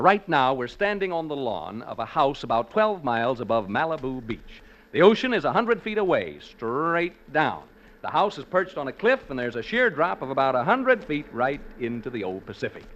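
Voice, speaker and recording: An actor playing a chatty radio ghost hunter who speaks in breezy announcer patter, in 1940s radio acting. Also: male voice, medium pitch, a bit bright. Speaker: radio host. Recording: muffled old 1940s radio recording.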